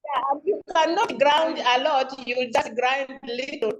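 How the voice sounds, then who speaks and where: A woman's voice: high voice, steady tone, unhurried, crowd noise. A woman with a Ugandan accent speaking informally as the president chairing an online club meeting. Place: remote video call.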